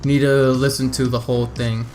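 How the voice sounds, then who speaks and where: A man's voice: medium pitch, flat delivery, a little fast, darkish tone. A man giving a casual, step-by-step video game walkthrough, talking informally and directly to his viewers. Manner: informal, addresses viewers as "you guys".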